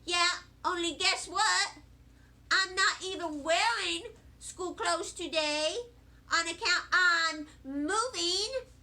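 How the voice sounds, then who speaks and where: A woman voicing a stubborn, excitable kindergarten girl with childish, emphatic speech in a children's storybook reading. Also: very high-pitched, slow pace, voice pushed up, full character voice. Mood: stubborn, defiant. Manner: childish grammar, emphatic, insistent. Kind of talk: children's storybook read aloud.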